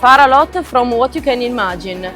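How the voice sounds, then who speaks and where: A woman's voice: fairly high pitch, expressive, normal speed, loud music behind the voice. A woman with an Italian accent narrating a story in a lightly conversational way.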